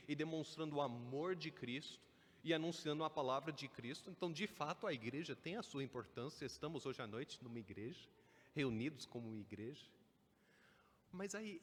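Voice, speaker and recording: A man's voice: a little high, fairly bright tone. A man preaching a Sunday evening sermon, building to emphatic stresses. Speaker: pastor. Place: church sanctuary. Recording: church hall with long reverb.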